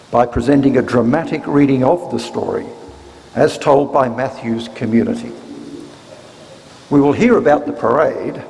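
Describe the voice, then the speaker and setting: Slow, solemn man in a reverberant church. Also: mid-range voice, creaky, darkish tone. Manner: measured, deliberate phrasing. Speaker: church reader introducing a service. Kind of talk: solemn scripted introduction. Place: large church, live reading. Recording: reverberant church hall, faint noise.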